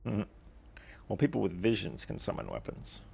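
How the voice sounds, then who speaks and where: A male streamer with a deep, gravelly voice reacts casually to a game. His pitch swings widely while the remarks stay offhand. Faint noise sits in the background. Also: big pitch swings, moderate pace, a little mellow. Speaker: livestreamer. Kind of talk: casual live gameplay commentary.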